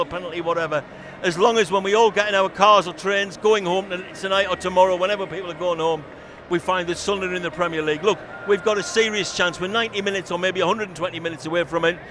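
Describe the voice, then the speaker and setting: A man with a high, bright pitch talks football at a fast clip, upbeat and emphatic. He is speaking outdoors at a stadium, and loud noise of the surroundings carries behind his voice. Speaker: studio guest. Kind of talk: live pre-match football talk.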